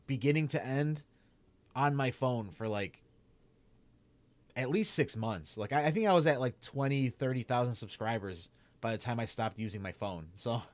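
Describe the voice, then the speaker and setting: A man's voice: mid-range voice, no echo. A young man with a Chicago accent chatting casually to his stream while gaming, rambling with lots of "like" and "man". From Chicago area. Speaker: streamer and YouTuber.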